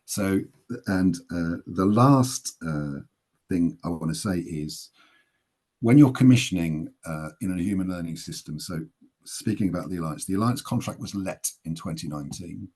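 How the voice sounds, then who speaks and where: A man's voice: sits low, expressive, moderate pace, darkish tone, breathy edge. A man making an emphatic, plain-spoken case in a webinar talk. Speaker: guest speaker. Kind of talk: webinar presentation.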